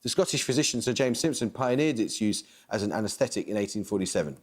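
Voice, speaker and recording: A man presents a university quiz from a television studio, crisp and measured in delivery. His voice sits at an ordinary pitch but swings lively up and down as he reads questions and team summaries. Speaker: quiz show host.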